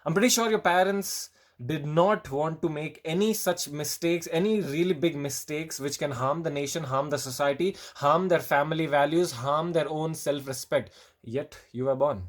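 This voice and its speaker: A man's voice: fairly high pitch, normal speed. A man reading a pointed rebuttal monologue from a prepared list, earnest with sarcastic jabs. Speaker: video creator responding to a comedian.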